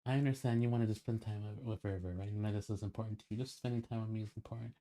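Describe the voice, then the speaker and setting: Mellow, placating man in casual conversation. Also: on the low side, fairly even intonation, very fast. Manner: placating, apologetic. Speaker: streamer.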